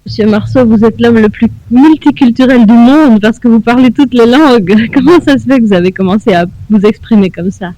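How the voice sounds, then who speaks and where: Brisk woman radio interviewer, dark tone. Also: a little high, dry recording, highs cut off. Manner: brisk, direct questioning. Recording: old archival radio recording.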